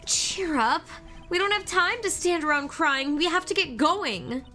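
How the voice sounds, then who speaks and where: A woman's voice: very high-pitched, a little slow, bright, forceful tone, soft background music, pitched above the performer's own voice, heavily acted. A woman voicing an earnest young male defense attorney who talks in an encouraging, raised voice.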